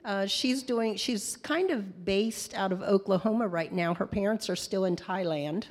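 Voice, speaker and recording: A middle-aged or older woman speaks informally to a church congregation in a halting, unscripted way. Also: mid-range voice. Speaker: church member introducing a guest speaker. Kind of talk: informal spoken introduction and prayer. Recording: echoey hall with long reverb.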